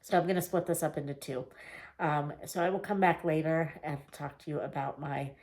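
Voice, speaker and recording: A woman vlogging a casual reading wrap-up, chatty and rambling with fillers and small laughs, her voice getting a little raspy. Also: low voice, fairly even intonation, a little fast, a little mellow. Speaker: book vlogger.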